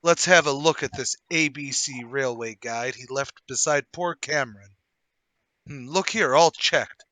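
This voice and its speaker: A man playing a hearty, brash English-gentleman type with theatrical banter in a radio play table read. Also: medium pitch, measured pace, no echo. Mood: grave, urgent. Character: cheery, brash gentleman brother-in-law with hidden cunning.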